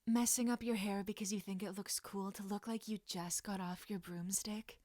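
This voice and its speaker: A woman's voice: mid-range voice, fairly even intonation, normal speed, darkish tone, no room sound, studio quality, lightly acted. A woman voicing a proud, hurt teenage witch girl with a cutting, indignant manner and a slight tremor. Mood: furious.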